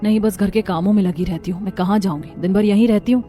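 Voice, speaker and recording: A woman's voice: medium pitch, steady tone, fast talker, dark tone, close to an everyday voice. Woman playing young wife, meek, wistful, subdued, cartoon family drama, faint music behind. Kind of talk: cartoon story character voice.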